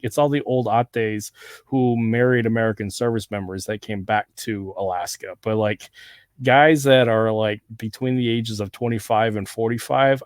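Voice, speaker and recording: Man with a relaxed SoCal drawl. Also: medium pitch, dry recording, studio quality. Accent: Southern California with a Hawaiian touch. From Southern California. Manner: relaxed, rambling, quick to agree. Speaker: podcast host. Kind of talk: casual conversation.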